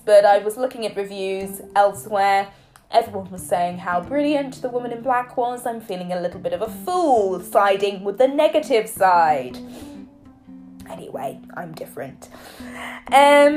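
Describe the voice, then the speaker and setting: A woman hosting her own podcast in a chatty, playful way, her voice a little croaky from a cold. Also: mid-range voice, darkish tone. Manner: chatty and playful, teasing listeners. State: hoarse from a cold. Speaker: podcast host. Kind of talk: solo podcast talk.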